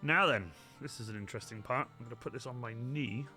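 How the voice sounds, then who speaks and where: Bright-voiced man streaming, swearing cheerfully. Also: medium pitch, fast. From Wales. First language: Welsh. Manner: chatty, sweary, reads chat aloud. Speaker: cosplay streamer. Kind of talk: casual live-stream chat while crafting. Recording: faint music behind.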